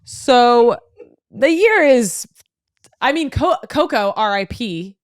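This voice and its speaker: A young woman chatting casually as a podcast guest, rambling with lots of fillers and deadpan jokes. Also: fairly high pitch, slow, dry recording, studio quality. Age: young adult. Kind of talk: casual chat.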